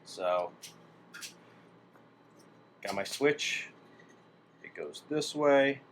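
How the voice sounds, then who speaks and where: A man's voice: medium pitch, animated, extremely slow. A man casually thinking aloud as he demonstrates hobby work at a bench, tentative and speaking in fragments. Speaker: hobbyist demonstrating a radio-to-amp conversion. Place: indoor workbench. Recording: clearly noisy room recording.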